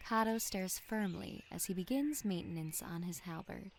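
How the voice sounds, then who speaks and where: A woman's voice: mid-range voice, animated, moderate pace, a little hiss or hum. A woman reading scripted narration for a medieval fantasy audio drama. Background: faint music.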